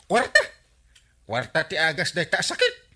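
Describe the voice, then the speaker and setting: A man with an Ilocano accent playing a scheming, money-minded, excitable older village man in a radio comedy drama, exclaiming and wheedling. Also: fairly high pitch, a little slow, heavily acted. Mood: sly, excited.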